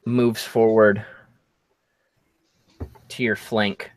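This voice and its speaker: A man running a tabletop game, improvising narration as game master in a halting, drawn-out way. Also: on the low side, little pitch movement, no room sound. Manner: halting, drawn-out storytelling delivery.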